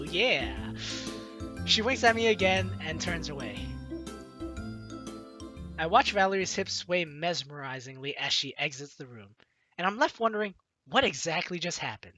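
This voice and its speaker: A woman's voice: lowish voice, pushed and bright, no echo. A woman hosting a gaming video, playfully reading visual novel lines aloud with expression and adding commentary. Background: game music.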